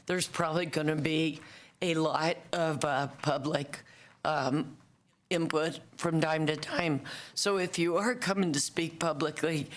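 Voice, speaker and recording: A woman from the American South with a raspy voice, chairing a public board meeting in a slow, halting way. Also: deep voice. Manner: slow and halting, with many fillers.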